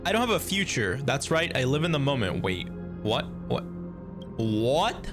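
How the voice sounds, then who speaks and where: A streamer reacting casually to a game and reading its dialogue aloud, expressive, slangy and exclamatory. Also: medium pitch, normal speed. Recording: game music behind the voice.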